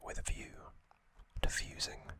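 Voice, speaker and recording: A man whispers an ASMR narration very close to the microphone in a dry space. His breathy whisper is crisp and sibilant, with no real tone to it. He goes very slowly, placing each short phrase deliberately to soothe the listener. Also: sharp tone, no echo. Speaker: ASMR host.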